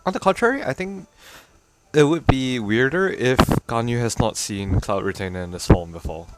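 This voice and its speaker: A man's voice: mid-range voice, animated, normal speed, heavy background noise. A man streaming a game playthrough, casually commentating with wry asides and reading on-screen text aloud. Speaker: streamer playing through a game.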